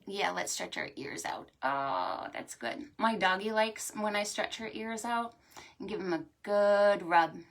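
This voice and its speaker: A woman hosting a storytime for preschoolers, talking to small children in an animated, sing-song way. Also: medium pitch, a little slow. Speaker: children's librarian and storytime host. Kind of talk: preschool storytime with stretches and songs.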